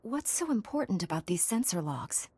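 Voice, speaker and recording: An actress playing a dutiful, wry young Jedi Knight heroine in a video game. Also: female voice, mid-range voice, sing-song, normal speed, dark tone, slightly rough, big-room sound. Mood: earnest. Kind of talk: video game cutscene acting.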